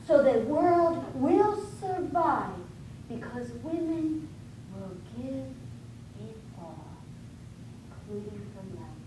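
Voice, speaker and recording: A woman tells an old story in a slow, measured, solemn voice. She sounds distant, in a very echoey hall. A steady noise sits underneath. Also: a little high.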